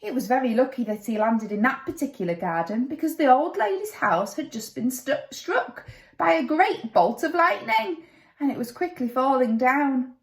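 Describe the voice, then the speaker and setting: A woman narrates a children's story in a playful, dramatic style. Her voice is high and swoops with lots of expression, and the room around her is noticeably echoey. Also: expressive, normal speed. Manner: dramatic, playful with sound effects.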